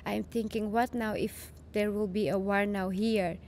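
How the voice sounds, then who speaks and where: A woman's voice: mid-range voice, steady tone, a little slow, darkish tone, a little hiss or hum. A young woman from Chechnya, a native Dutch speaker, talking in an interview with many fillers and restarts in a studio. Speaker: podcast guest. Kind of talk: casual interview conversation. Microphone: boom-arm studio mic.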